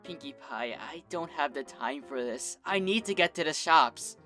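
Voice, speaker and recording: Woman reading a young unicorn filly, bright-edged and anxious, over soft music. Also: sits low, lively intonation, moderate pace. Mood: irritated. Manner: pleading, breathless worry.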